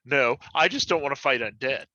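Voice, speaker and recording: A man's voice: medium pitch, fairly quick, sharp tone, a little hiss or hum. A man playing a tabletop game, bantering casually with the group, on slightly muffled audio.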